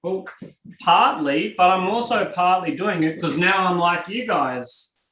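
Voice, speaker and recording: A man with an Australian accent teaching a live online coaching class in a lively, conversational way and acting out examples. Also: sits high, measured pace, distant mic, a little hiss or hum. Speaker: NLP trainer. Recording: roomy and from off the mic.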